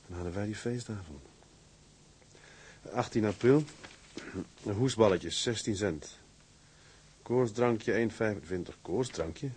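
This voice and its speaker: An actor playing a hearty, affectionate young ship's mate in a radio play. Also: male voice, lowish voice, unhurried, pauses often, darkish tone, husky. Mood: quiet, musing. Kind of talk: dramatised radio play acting.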